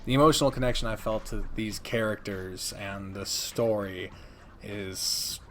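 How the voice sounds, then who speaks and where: A man's voice: lowish voice, measured pace, fairly bright tone, a little hiss or hum. A man giving opinionated, conversational review commentary as a video creator, speaking emphatically with dramatic build-ups and casual swearing. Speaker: video creator ranking favorite games.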